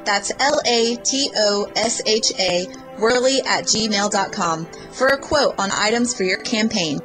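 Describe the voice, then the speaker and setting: Lady reading an ad, clear and steady, music going underneath. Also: medium pitch, a little slow, rough recording. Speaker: ad reader. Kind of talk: read promo. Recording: music bed behind.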